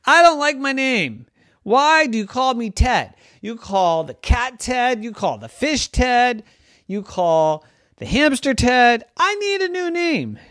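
Someone voicing a high excitable family dog. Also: takes it slowly, no echo, clean studio sound. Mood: eager. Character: talking family dog, eager and a bit cheeky.

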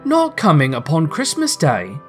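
A man's voice: medium pitch, moderate pace, faint music behind, lightly acted. Man as earnest, tender-hearted poor father. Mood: surprised, dismayed. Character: poor, tender-hearted clerk and doting father, Bob Cratchit.

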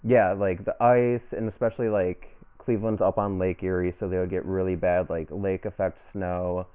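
A man's voice: low-pitched, steady tone, moderate pace, clean tone. A man in his twenties from Ohio, a comedian guest chatting easily on a podcast, full of fillers and repeated yeahs. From Ohio, USA. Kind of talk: casual podcast chat. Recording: tinny phone-like audio.

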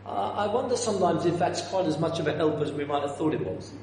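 A middle-aged man gives a conversational sermon, his voice sitting a touch high for a man. He is self-deprecating and keeps putting questions to the congregation. The voice rings with long, heavy reverb from a large church hall. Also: quick pace, a little mellow, a little hiss or hum. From North London, England. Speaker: visiting preacher.

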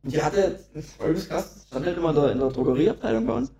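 A man's voice: on the high side, expressive, fast talker, gravelly, warm tone. A young man co-hosting a casual podcast chat, swearing often and laughing a lot. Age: young adult. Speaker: podcast co-host.

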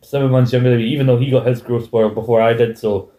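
A man's voice: mid-range voice, steady tone, fast, dark tone, echoey room. A man in his twenties chatting casually as a podcast co-host, rambling with restarts and self-corrections. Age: 20s. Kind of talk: casual chat.

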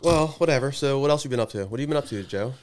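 A man's voice: mid-range voice, racing, background noise. A young man co-hosting a podcast, rambling and teasing in casual banter and laughing often. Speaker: podcast co-host.

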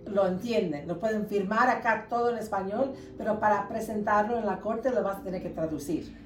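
A woman's voice: mid-range voice, normal speed, a little hiss or hum. A woman in her fifties from the United States answering interview questions conversationally as a lawyer, dropping English words into her Spanish. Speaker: lawyer, podcast guest. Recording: echoey room, distant mic, music.

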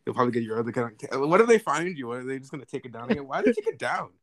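A man's voice: mid-range voice, very animated, very fast, no room sound. A young man co-hosting a casual sibling podcast, teasing and needling his sister in a mock-serious way. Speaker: podcast co-host. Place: apart from his co-host, remote recording.

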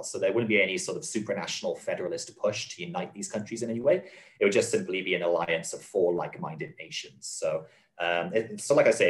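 Deep voiced guy explaining policy stuff, earnest, kinda repeats himself. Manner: earnest, measured, repeats phrases and restarts. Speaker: guest, advocacy spokesman. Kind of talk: interview answers, policy explanation.

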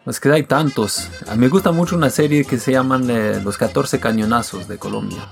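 A man from Quebec, a native French speaker, talking as a guest musician in a casual interview, speaking in a halting, thoughtful way. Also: medium pitch, gravelly, faint music behind.

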